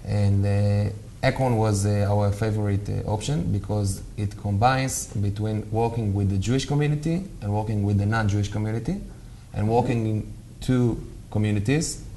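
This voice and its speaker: A young man with an Israeli accent, a guest emissary who speaks haltingly with many fillers. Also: fairly low pitch, dark tone, a little hiss or hum. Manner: hesitant, many uh fillers, simple phrasing. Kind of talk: interview on local talk show.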